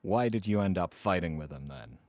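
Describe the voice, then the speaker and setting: A man's voice: deep voice, animated, brisk, darkish tone. A man playing a tabletop roleplaying game, talking casually in and out of character.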